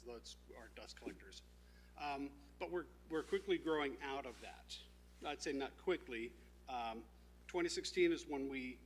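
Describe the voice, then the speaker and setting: A man presenting his company's plans at a town zoning meeting, speaking informally with many fillers and restarts, and a loud voice by his own account. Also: mid-range voice, slight background noise, rough recording. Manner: says he has a loud voice.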